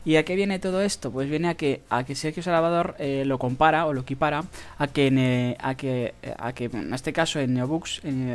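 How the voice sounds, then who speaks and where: A speaker with a Spain Spanish accent giving a casual screen-recorded tutorial, in a halting, hesitant way with drawn-out pauses. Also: mid-range voice. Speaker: video tutorial host. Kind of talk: casual screen-recorded tutorial about earning money online. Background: background music.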